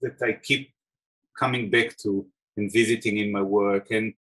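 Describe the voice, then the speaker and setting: A middle-aged man from Israel with an Israeli accent, an artist talking over a video call about his films, thoughtful, pausing with fillers. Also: lowish voice, steady tone, a bit bright, slightly rough. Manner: thoughtful, many restarts and fillers. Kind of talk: talk about his own work. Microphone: video call webcam.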